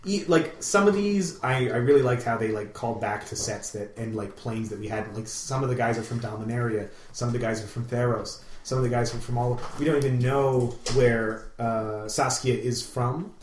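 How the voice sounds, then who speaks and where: A man's voice: mid-range voice, normal speed, few pauses. A man co-hosting a casual gaming podcast, rambling and joking with enthusiasm. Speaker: podcast co-host. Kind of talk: casual hobby podcast chat about games. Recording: roomy echo, faint background noise.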